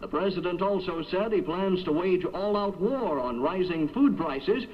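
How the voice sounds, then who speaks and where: A man anchors a 1970s radio newscast in a high-pitched voice. He reads from a script. The sound is the dull, narrow tone of an old AM aircheck. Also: expressive, normal speed.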